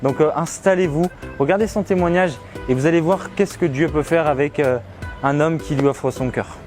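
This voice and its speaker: A man's voice: fairly high pitch, moderate pace, darkish tone, soft background music. A man speaking French as an evangelist host, introducing a testimony to viewers. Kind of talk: spoken introduction to camera.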